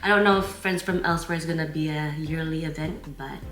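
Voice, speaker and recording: A woman's voice: low voice, steady tone, fast talker, fairly bright tone, echoey room, soft background music. A tired woman vlogger talking casually about her outing. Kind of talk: casual vlog chat.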